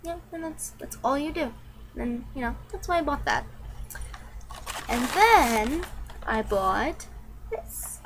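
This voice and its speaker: A woman's voice: high-pitched, lively intonation, slow, pauses often, fairly bright tone, a little hiss or hum, low-quality recording. A young woman, a little sick and nasal, chatting casually and rambling with lots of ums and likes in a product review vlog. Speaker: vlogger.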